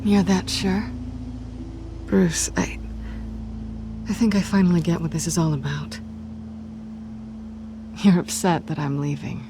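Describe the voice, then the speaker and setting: An actress playing a sly, flirtatious but guarded cat burglar in a video game, with a cool, teasing drawl that turns breathy at times. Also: female voice, fairly low pitch, unhurried, long pauses, music in the background, lightly acted. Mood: guarded, dismissive. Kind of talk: video game dramatic acting.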